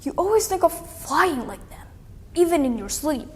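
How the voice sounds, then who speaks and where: A woman voicing a gentle, supportive storybook father, speaking emphatically and reassuringly to his son. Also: sits high, very animated, normal speed, in a large hall, pitched above the performer's own voice. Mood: amused.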